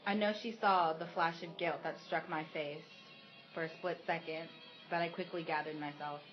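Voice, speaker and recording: A woman with a low voice narrates a radio play in the first person. The sound is somewhat dull, with faint music underneath. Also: fairly even intonation, moderate pace. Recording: muffled recording with music behind.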